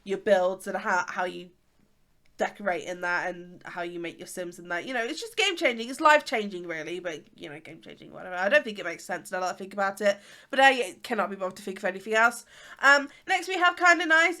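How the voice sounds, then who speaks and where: Congested English woman in her twenties, bright, chatty host, rambles with fillers. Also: medium pitch, animated, a little fast. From England. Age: 20s. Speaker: YouTuber. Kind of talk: casual chat.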